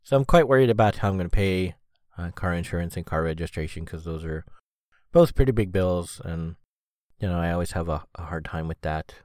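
A man hosting a solo podcast, talking in a rambling, halting way full of fillers, with a sniffly cold and a slightly rough throat. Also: low-pitched, darkish tone, no room sound, studio quality. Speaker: podcast host. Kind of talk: casual solo podcast monologue.

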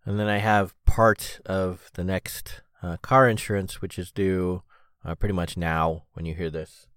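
A man hosting a solo podcast, talking in a rambling, halting way full of fillers, with a sniffly cold and a slightly rough throat. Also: low-pitched, level tone, fairly bright tone, no echo, clean studio sound. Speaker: podcast host. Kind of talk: casual solo podcast monologue.

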